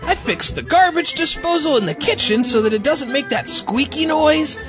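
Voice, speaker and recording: A woman voicing a goofy, excitable inventor in a comic sci-fi audio drama. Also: sits high, moderate pace, highs cut off, over music. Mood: cheerful. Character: excitable cartoonish inventor, fed up yet cheerfully goofy.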